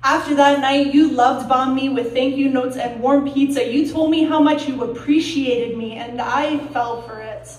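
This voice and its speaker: A woman reciting slam poetry in a deliberate, dramatic, emotionally intense way, with pauses and emphasis. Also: high voice, steady tone, a little mellow. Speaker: slam poet. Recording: roomy echo, distant mic.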